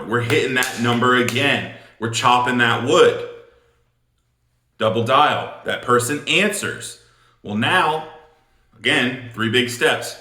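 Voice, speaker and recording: A man's voice: mid-range voice, sharp tone. A man giving an instructional sales talk as a coach, emphatic and informal, blunt, repeating words for punch. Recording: roomy, echoing room sound.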